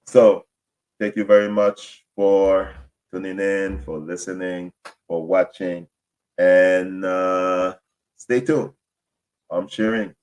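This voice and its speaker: A man with a very low voice hosts a casual solo podcast. English is his second language after French and Haitian Creole. He rambles, restarts and apologizes for his mistakes. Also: flat delivery, takes it slowly, long pauses, a little mellow, smooth. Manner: rambling, self-correcting, apologetic. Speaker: podcast host. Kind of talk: casual solo sports talk.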